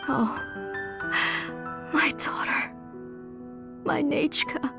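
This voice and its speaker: A woman's voice: sits high, very slow, vocal fry, heavily acted. A woman playing a kind, devoted older mother in a video game, speaking tenderly and earnestly. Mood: grieving. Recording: music under the voice.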